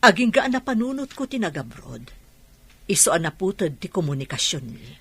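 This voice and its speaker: Husky, low-voiced tender older woman. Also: very animated, moderate pace, no echo, heavily acted. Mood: wistful, tender. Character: older woman trainer, tender, hesitant, long-lost sweetheart. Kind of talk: Philippine radio drama acting.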